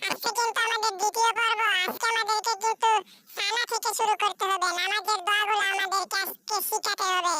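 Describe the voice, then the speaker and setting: A woman's voice: very high-pitched, little pitch movement, fast, noisy background. A woman voicing eager cartoon schoolchildren. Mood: polite. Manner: eager, respectful, repeats loudly after the teacher. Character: eager young schoolchildren as a group. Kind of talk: cartoon voice acting.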